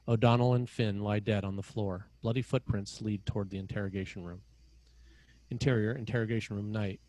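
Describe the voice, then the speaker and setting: A man's voice: on the low side, darkish tone, no room sound, low-quality recording. A man reading scene headings and stage directions as the narrator of a screenplay table read.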